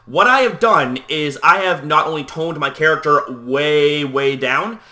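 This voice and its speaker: A young man hosting a video channel, talking casually to the camera at a deliberately lowered volume, loose and chatty with lots of "you know". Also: sharp tone. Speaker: YouTube host.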